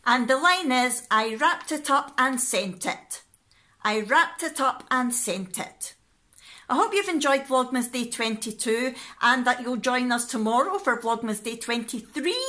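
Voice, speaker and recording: Female vlogger, thirties, bright and animated, talking casually to a handheld camera. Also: sits high, measured pace. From Scotland. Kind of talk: casual vlog chat to camera. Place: living room at home. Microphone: phone or camera at arm's length.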